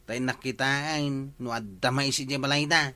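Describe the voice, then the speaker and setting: A man's voice: mid-range voice, fairly even intonation, measured pace, pushed and bright. A man with a Filipino Ilocano accent playing an insistent, suspicious village official in a colloquial comedy drama. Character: male village official, suspicious, quick to accuse.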